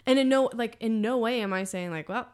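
A woman hosting a podcast from the United States, chatting casually, animated and emphatic, laughing often. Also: fairly high pitch, fairly quick. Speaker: podcast host. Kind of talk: casual conversation.

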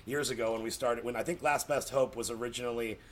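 A man's voice: mid-range voice, steady tone, fast, a little hiss or hum. An American man talking casually and effusively as a podcast guest, telling stories in a rambling way, repeating words for emphasis and acting out quoted reactions. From Colorado, United States. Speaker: podcast guest, comedy writer. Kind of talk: casual, animated storytelling.

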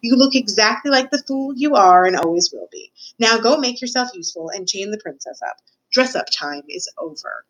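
A woman's voice: medium pitch, expressive, normal speed, a bit bright, voice pushed up, low-quality recording. A woman plays a haughty storybook rat villain. He is cruel and scheming, gives orders in formal phrases and sneers at those beneath him. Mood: sneering, commanding. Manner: sneering, commanding, formal wording. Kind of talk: storybook character voice.

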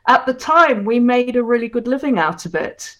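A woman's voice: fairly high pitch, lively intonation, fairly bright tone. A woman in her sixties from Hong Kong, chatting as a guest in an interview and reminiscing about her career. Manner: chatty, reminiscing, quick to laugh. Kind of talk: casual interview.

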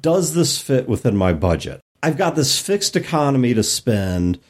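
A man's voice: mid-range voice, very animated, moderate pace, darkish tone. A man co-hosting a podcast, chatting casually and informally about tabletop games. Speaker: podcast co-host.

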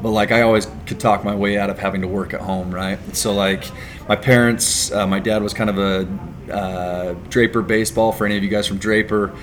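Relaxed low-voiced man telling his story. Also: a little fast. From California. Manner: relaxed, rambling, says "like" and "right?" a lot. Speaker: business founder, guest. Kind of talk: casual interview storytelling.

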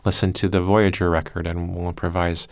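A man's voice: low-pitched, flat delivery, a little fast, gravelly, no echo. A man who produces a podcast, explaining his work reflectively in an interview with hesitant, thoughtful phrasing.

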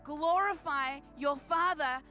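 A woman's voice: high voice, slow, bright, forceful tone, faint music behind. A woman with an Australian accent preaching to a church congregation in a big, emphatic voice, repeating phrases for effect. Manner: big, projecting voice. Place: church service.

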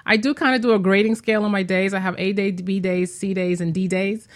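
A woman's voice: medium pitch, steady tone, fairly quick. A woman who is a homeschooling parent speaks as an interview guest, explaining things informally with examples and lists. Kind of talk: casual conversational explanation.

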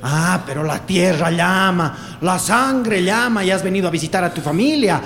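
A man's voice: high voice, expressive, moderate pace, a bit bright. An actor playing a jittery, accusing henchman in a radio drama, rambling and repeating himself aggressively with a Bolivian accent. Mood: mocking, suspicious. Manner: rambling, repetitive, aggressive interrogation. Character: jittery, accusing male henchman of a crooked butcher. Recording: long reverb, background noise and ambience.

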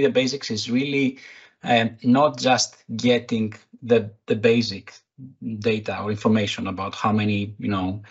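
Husky Greek-accented man, halting speech. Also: mid-range voice, muffled recording. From Greece. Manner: hesitant, many fillers and restarts. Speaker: recruitment technology executive, podcast guest. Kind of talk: casual interview answers.

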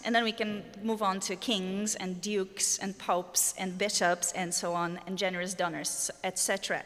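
A woman with a Polish accent, an organist in her forties, giving an earnest spoken introduction to a recital and pausing often with fillers. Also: a little high, fairly bright tone. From Poland. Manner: earnest, thoughtful, pauses with fillers. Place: university chapel. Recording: long reverb of a large hall.

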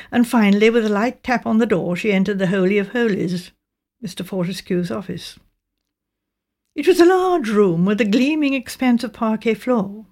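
A woman with a Received Pronunciation British accent, reading a mystery novel as scripted narration in a measured, precise way. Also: mid-range voice, gravelly, a little mellow. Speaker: audiobook narrator.

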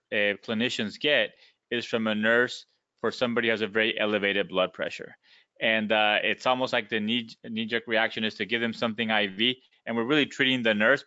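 Courteous podcast host with a bright voice. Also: male voice, fairly low pitch, steady tone, fairly quick, dry recording, muffled recording. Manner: courteous, uses many fillers. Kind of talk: podcast interview.

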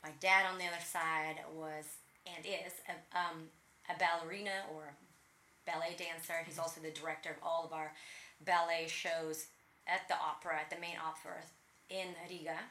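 A woman from Latvia whose first language is Russian shares her story with a guest's casual energy. Her voice is low but bright. She laughs often, and the room gives her voice a noticeable echo. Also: flat delivery, normal speed. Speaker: guest, personal trainer.

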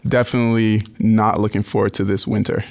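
A man from Barbados with a fairly low voice co-hosts a podcast. He speaks conversationally, in the manner of a spokesman presenting to listeners. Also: little pitch movement, fairly quick, vocal fry, darkish tone. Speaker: podcast co-host and press secretary.

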